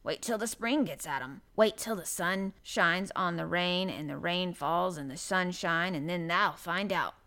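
A woman's voice: lowish voice, measured pace, hardly any pauses, sharp tone. Woman acting grumbling old Yorkshire gardener man. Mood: slow, gruff. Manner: grumbling, grunting, dialect speech. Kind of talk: audiobook character voice.

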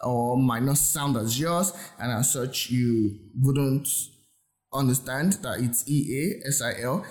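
A man's voice: medium pitch, slow, darkish tone. A man with a Nigerian accent presenting an informal tutorial, explaining in a conversational, reassuring way and repeating points for emphasis. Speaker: host presenting software reviews. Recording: roomy, echoing sound.

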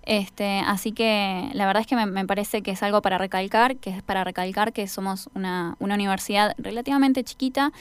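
A young Argentine woman hosts a radio interview in a conversational, effusive style. Her voice is clear and resonant, sitting at a medium pitch, with a dry studio-like sound. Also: fairly even intonation, a little fast, hardly any pauses, no room sound. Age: young adult. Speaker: radio host.

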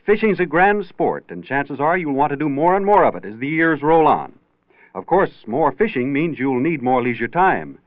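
Animated male announcer, dry vintage radio. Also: fairly high pitch, dry recording. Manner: folksy, emphatic salesmanship. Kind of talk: 1940s scripted radio advertisement. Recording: narrow-band old radio recording.

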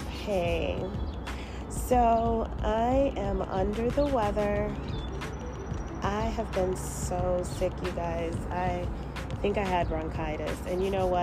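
A woman with a lowish voice, stuffed up from a cold, talks in a casual, reflective way like the host of a motivational show. She leans on repeated phrases to build emphasis. Loud music plays along with her voice. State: congested with a cold. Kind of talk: casual, reflective spiritual monologue. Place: outdoors in the morning.

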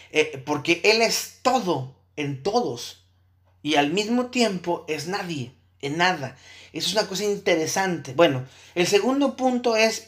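A man's voice: sits high, a bit bright. A man giving an explanatory lecture on Buddhist ideas, often checking in with his listeners and thinking aloud as he goes. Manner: checks in with listeners with frequent tag questions.

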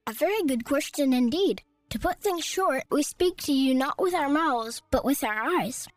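A man's voice: very high-pitched, animated, moderate pace, no room sound, faint music behind, voice pushed up. A man voicing a group of tiny, fluffy forest creatures in a storybook reading. They talk in a prim, chattering way. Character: tiny fluffy gray tree creatures, proud, clever.

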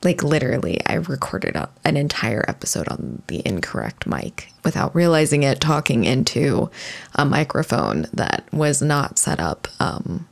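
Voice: medium pitch, sing-song, moderate pace, vocal fry. A podcast host talking casually on their own, rambling with lots of fillers and restarts. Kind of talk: casual solo podcast monologue.

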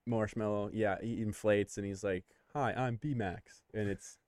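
A man in casual podcast banter as host, excitable and repeating phrases for emphasis. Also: fairly low pitch, a little slow, dry recording.

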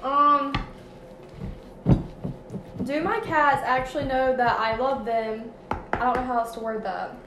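A woman's voice: high voice, steady tone, unhurried, long pauses, reverberant, background noise. A young woman, a guest on a casual podcast, hesitant and thinking aloud.

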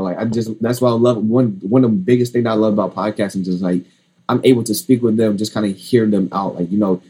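A man's voice: fairly low pitch, mellow, slightly rough, rough recording. A man hosting a podcast interview, rambling and filling his questions with "like" and "you know". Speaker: podcast host. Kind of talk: casual interview talk.

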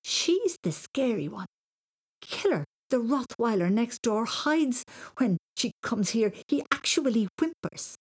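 A woman's voice: a little high, very animated, fast talker, hardly any pauses, husky, voice pushed up. A woman voicing an earnest, mischievous young schoolboy in a storybook, protesting and pleading. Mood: indignant. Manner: stammering, pleading excuses.

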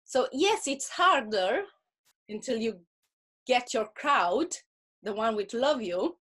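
A woman's voice: a little high, lively intonation, slow, a bit bright. A woman with a Russian accent, a guest talking earnestly in a casual interview and often repeating words as she starts a point.

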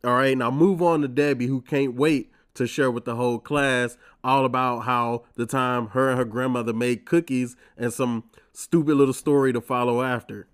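A man's voice: mid-range voice, fairly even intonation, moderate pace, few pauses, clean tone. A man in his twenties, congested from a cold, hosting his own podcast in a wry, profane, ranting casual monologue. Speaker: podcast host.

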